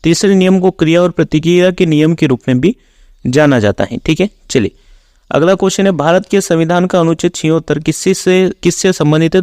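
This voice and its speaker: Higher-pitched man teaching exam questions. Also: a little mellow, dry recording, studio quality. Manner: instructional, reading out facts in a set routine. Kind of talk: reading quiz questions with explanations.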